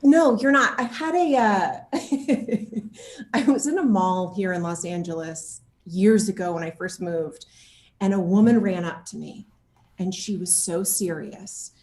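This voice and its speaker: A woman's voice: lowish voice, unhurried. Chatty, jokey woman in an echoey room. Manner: animated, jokey, often laughing as talking. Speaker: interview guest, actor. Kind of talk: casual chat, telling anecdotes. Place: at home, indoor room.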